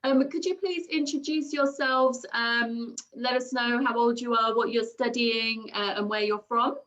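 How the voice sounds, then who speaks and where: A woman moderates a video call in a bright, slightly raised voice, cheerful and polite with plenty of ums. The sound is dull and muffled, like compressed call audio. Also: fairly high pitch, steady tone, measured pace. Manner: upbeat, polite, with many ums and thank-yous. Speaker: host of school information session.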